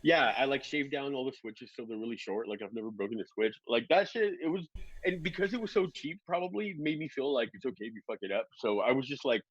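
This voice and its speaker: A man's voice: medium pitch, expressive, quick pace, slightly rough, dry recording, lo-fi sound. A man in his forties, a guest drone pilot, chatting casually and rambling, swearing freely and laughing often. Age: 40s. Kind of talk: casual chat.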